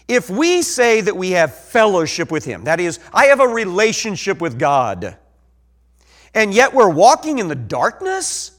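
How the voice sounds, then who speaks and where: Animated Ozark preacher. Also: male voice, sits high. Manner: animated, swings from soft to loud emphasis. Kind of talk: sermon.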